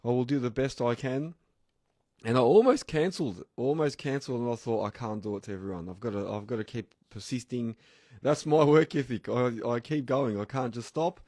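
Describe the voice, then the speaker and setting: An Australian man hosts a live podcast with a croaky, hoarse voice worn down by sickness. He talks in a chatty, rambling way at a middling pitch. The sound is dry and close, with no room around it. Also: fairly quick, a little mellow, no echo. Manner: chatty, rambling, thanks listeners by name. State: hoarse, sick voice. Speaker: podcast host.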